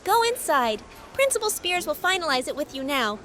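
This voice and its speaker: A prim teenage dinosaur girl in a visual novel game, extremely high and piercingly bright. Her delivery swoops wildly, officious and over-sweet. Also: sing-song, moderate pace. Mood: sugary, eager. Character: prim, chirpy, exasperated teenage girl raptor office helper.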